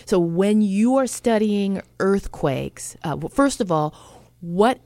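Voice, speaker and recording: A woman's voice: medium pitch, lively intonation, takes it slowly, warm tone, dry recording. A woman over fifty from San Francisco hosting an interview, chatty and rambling in her questions. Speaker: podcast host. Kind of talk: casual interview chat.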